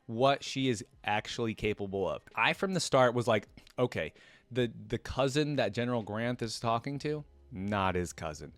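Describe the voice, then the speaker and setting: A man hosting a reaction video, reading a story aloud and reacting excitedly with many fillers. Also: on the low side, dry recording. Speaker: reaction channel host.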